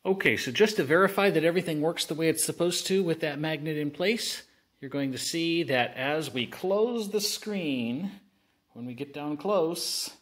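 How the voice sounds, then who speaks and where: A man's voice: on the high side, normal speed, fairly bright tone. A man at a home table filming a casual how-to on his phone, talking in a folksy, rambling way with jokey asides. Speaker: hobbyist showing a laptop repair. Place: home dining room at a table.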